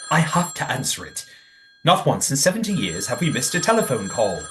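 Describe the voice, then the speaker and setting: Audio play role: sweetly naive, genteel old hotel keeper, excitable, very animated delivery. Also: medium pitch, fairly quick, soft background music. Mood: pleased, prim. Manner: genteel, old-fashioned exclamations.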